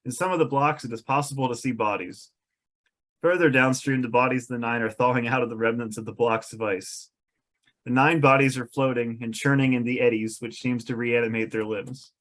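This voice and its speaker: A man's voice: mid-range voice, fairly even intonation, fairly quick, a bit bright. A man reading scripted stage directions as narrator at an amateur comedic table read.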